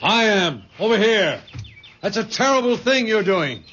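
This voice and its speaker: A man's voice: high voice, big pitch swings, a little slow, a bit bright, exaggerated voice. An actor playing an impulsive, indignant modern young man in an old-time radio drama. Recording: muffled, very noisy vintage radio recording.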